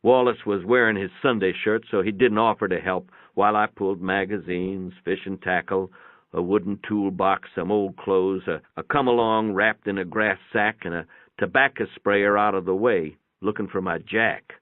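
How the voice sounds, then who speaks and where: A man's voice: sits low, fairly even intonation, normal speed. A man reading a first-person short story aloud in a folksy, plainspoken storytelling manner. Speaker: narrator. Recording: boxy room echo, tinny phone-like sound.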